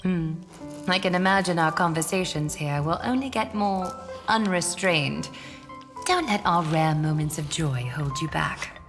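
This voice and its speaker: A woman playing a poised, sociable lady in a video game, elegant and gently teasing. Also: on the low side, a little slow. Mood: wry. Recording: long reverb, clear music behind.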